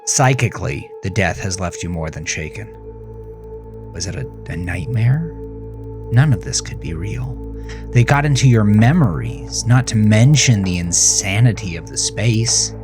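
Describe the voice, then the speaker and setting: Low male voice, slow deliberate delivery, grave horror narrator, suspenseful, prominent music underneath. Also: lively intonation. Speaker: author narrating his own horror fiction. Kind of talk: dramatic second-person horror narration.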